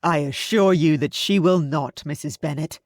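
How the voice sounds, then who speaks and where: A jovial young gentleman speaks up brightly and a little loudly to a room of guests, as a woman reads his part. His tone is proud and warm-hearted, and the recording is dry and close. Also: low-pitched, normal speed, no room sound.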